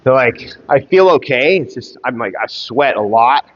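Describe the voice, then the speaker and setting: A stuffed-up man chatting casually on a fitness livestream, talking in halting, rambling bursts full of fillers. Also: mid-range voice, expressive. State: congested, stuffed up. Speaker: fitness streamer. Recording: muffled sound with long reverb.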